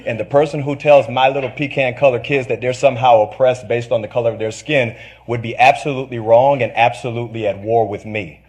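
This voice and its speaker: An American man giving an impassioned public speech as a parent, emphatic and insistent in short declarative statements. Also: medium pitch.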